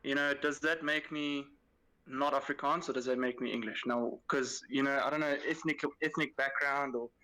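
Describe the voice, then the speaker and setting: A man's voice: mid-range voice, fairly even intonation, normal speed, pushed and bright, poor audio. A man from South Africa calling in to a show, chatting casually with hesitant fillers. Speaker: caller. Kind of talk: casual call-in chat.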